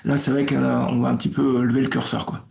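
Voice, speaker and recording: A French man in a band, answering casually in a relaxed, rambling way. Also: mid-range voice, fast, creaky. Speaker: band member. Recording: roomy echo.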